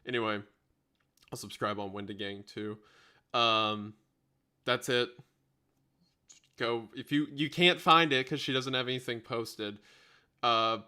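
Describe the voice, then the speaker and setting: A man's voice: fairly low pitch, pushed and bright. A man hosting a livestream in casual unscripted chat, rambling and thinking aloud as he multitasks. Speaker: livestream host.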